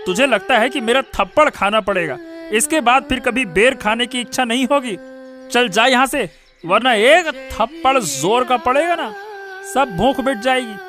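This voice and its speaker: A man voicing a brisk, insistent village man in a cartoon story. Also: extremely high pitch, lively intonation, sharp tone, exaggerated voice. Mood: threatening. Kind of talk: Hindi cartoon moral story dialogue.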